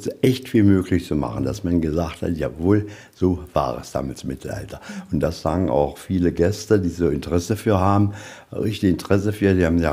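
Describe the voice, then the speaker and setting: A man with a very deep, mellow voice gives an informal guided tour. He explains in a conversational, slightly rambling way, as a museum guide talking to visitors.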